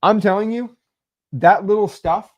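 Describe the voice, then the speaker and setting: A man giving casual advice in a rambling, animated way with lots of fillers. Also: sits high, measured pace.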